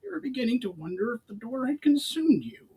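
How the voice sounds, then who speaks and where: A man voicing a gruff, growling goblin war chief in a fantasy storybook, blunt but respectful. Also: very high voice, sing-song, fairly quick, mellow, pitched above the performer's own voice, full character voice. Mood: suspicious. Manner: growling, grunting delivery.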